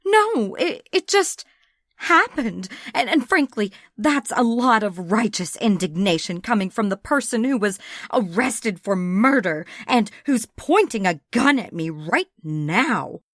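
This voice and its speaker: A woman voicing an anxious, harmless woman who stammers and protests haltingly in an audiobook. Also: mid-range voice, big pitch swings, a bit bright, no echo, clean studio sound, pitched above the performer's own voice. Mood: flustered, protesting. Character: flustered, harmless, anxious woman held at gunpoint.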